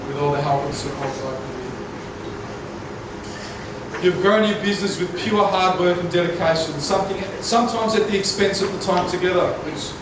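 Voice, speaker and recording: Groom, loud and sincere, light jokes; reception hall, distant mic, heavy echo. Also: male voice, sits high, fast, hardly any pauses, background noise. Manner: heartfelt, with a few light jokes. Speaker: groom giving wedding speech. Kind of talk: prepared wedding speech. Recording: echoey hall, voice from far off.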